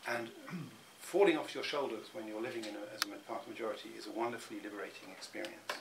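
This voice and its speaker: A man's voice: lowish voice, fast, vocal fry. Middle-aged man, reflective interviewee, stop-start delivery, faint hum behind. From England. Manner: thoughtful, many restarts and repeated words.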